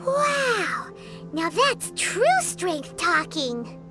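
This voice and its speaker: A woman's voice: very animated, drawn-out words, exaggerated voice. A woman voicing an eager, tiny female fairy companion who talks about herself by name. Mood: cheerful. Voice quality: very high, squeaky, bouncy. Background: game music.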